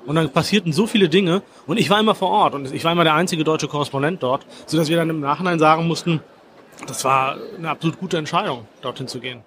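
A man in his forties, a foreign correspondent, answering interview questions in a measured, thoughtful way with frequent fillers and restarts. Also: on the high side, fairly bright tone, slight background noise. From Germany.

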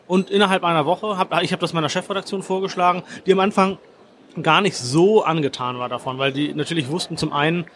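Measured German man, faint hiss. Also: a little high, steady tone, a bit bright. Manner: measured, thoughtful, with fillers and restarts. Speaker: foreign correspondent, interview guest. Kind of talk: reflective interview answers.

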